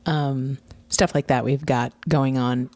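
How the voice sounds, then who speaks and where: A woman hosting a podcast in a casual, chatty, excited and informal way. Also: rumbling low, slow, no room sound. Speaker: podcast host.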